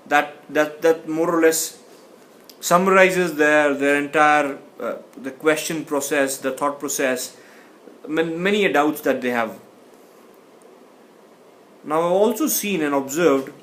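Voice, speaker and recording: A man's voice: a little high, a bit bright, slight background noise. A man teaching a communication class, speaking thoughtfully and often restarting or repeating words. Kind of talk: explanatory lecture.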